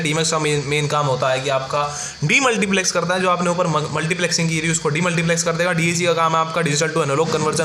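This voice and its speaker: An Indian man gives an instructional lecture in a bright, high voice. He explains things step by step like an engineering tutor, informally checking in as he goes. The recording is roomy and echoey. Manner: informal, instructional, frequent check-words like "right" and "okay". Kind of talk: exam-focused lecture explaining block diagrams. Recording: roomy echo with faint background noise.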